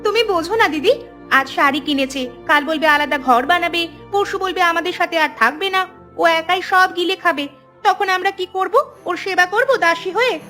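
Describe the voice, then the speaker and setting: A woman's voice: extremely high pitch, fairly quick, pushed and bright, faint music behind. A woman voicing an outspoken, hardworking young village woman in a cartoon, earnest and emphatic. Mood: indignant, resentful. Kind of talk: cartoon dialogue.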